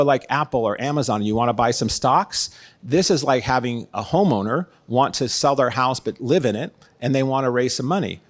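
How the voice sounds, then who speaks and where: A middle-aged man with an American accent, speaking as an interview guest in a conversational, explanatory way. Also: mid-range voice. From United States, San Diego, California. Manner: explanatory, uses everyday analogies. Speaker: guest, real estate consultant and former executive.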